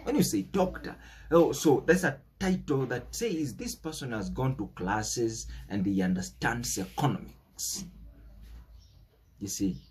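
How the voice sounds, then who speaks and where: A man's voice: mid-range voice, animated, a little slow, slightly rough. A young man with a Kenyan accent giving an emphatic, preachy unscripted political monologue, stressing words and repeating phrases. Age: young adult. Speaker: political commentator.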